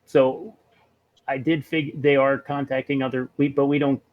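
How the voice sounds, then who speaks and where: A man's voice: mid-range voice, level tone, moderate pace, highs cut off. A man playing a tabletop role-playing game, chatting casually and tentatively, circling back over his words.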